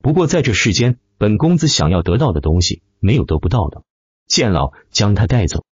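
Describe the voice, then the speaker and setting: Man voicing a haughty young villain, low and husky. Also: sing-song, fairly quick, a little mellow, no echo, highs cut off. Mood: cold, domineering. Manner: haughty, coldly threatening. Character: arrogant, domineering young noble villain.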